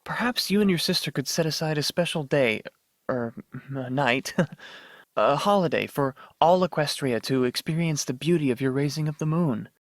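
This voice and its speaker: A man's voice: a little high, animated, normal speed, no echo, clean studio sound. A man voicing a kind, deferential young unicorn student in a radio drama, earnest and hesitant. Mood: earnest, tender. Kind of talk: fan-made radio drama acting.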